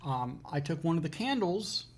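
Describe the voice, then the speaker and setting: A man speaks as a co-host in a conversational advice style. His delivery is measured and halting, broken by pauses in the middle of sentences as he shares advice and anecdotes. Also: on the high side, big pitch swings. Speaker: co-host of an educational show.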